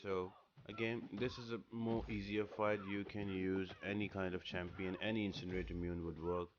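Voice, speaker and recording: A man's voice: low-pitched, level tone, normal speed, lo-fi sound, noisy background. A man hosting a game guide, explaining tactics in casual walkthrough commentary. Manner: explanatory, instructional. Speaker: gaming guide host.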